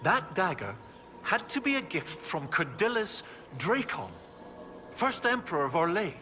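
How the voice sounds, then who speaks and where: An actor playing an enthusiastic research professor in a video game, explaining things in a pedantic, eager way. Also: male voice, sits high, sing-song, a little slow, sharp tone, soft background music, full character voice. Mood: absorbed, explanatory. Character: enthusiastic, bookish male research professor and archaeologist. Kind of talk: video game dialogue acting.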